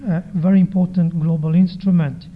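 A soft-spoken man giving a formal conference presentation into a microphone, measured and pausing often with hesitations. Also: high-pitched, steady tone. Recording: dull lo-fi recording.

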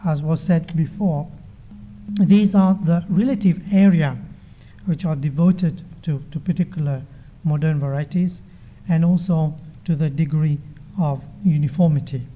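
A soft-spoken man giving a formal conference presentation into a microphone, measured and pausing often with hesitations. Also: on the high side, steady tone. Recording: dull lo-fi recording.

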